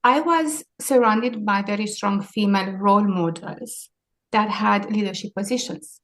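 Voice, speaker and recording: A woman's voice: medium pitch, measured pace. A woman in her forties with a Romanian accent, answering interview questions in a reflective, hesitant way. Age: 40s. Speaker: interview guest, maritime sustainability leader.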